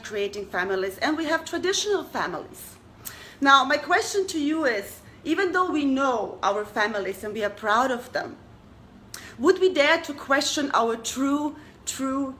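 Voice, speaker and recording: A woman's voice: high-pitched, fairly bright tone, slight background noise, low-quality recording. A young woman from Germany hosting a talk show, speaking to an audience in an earnest way and asking them rhetorical questions. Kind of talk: addressing an audience.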